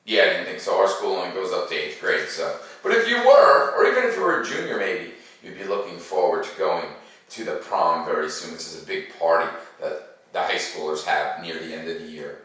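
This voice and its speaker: A man talking to children like a school teacher, playful and animated, explaining things in simple words. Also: sits low, recorded from a distance. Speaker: school teacher hosting a read-aloud for children. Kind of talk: chatty talk to children, then storybook read-aloud. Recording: very echoey room, away from the mic.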